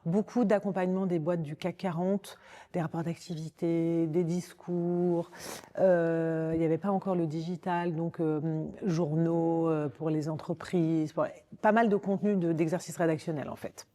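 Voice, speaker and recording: A woman's voice: lowish voice, fairly even intonation, normal speed, warm tone. A woman in her forties with a Parisian French accent, speaking informally in an interview and often rephrasing as she explains. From Paris, France. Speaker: podcast guest, communications director. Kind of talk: conversational interview, recounting own career.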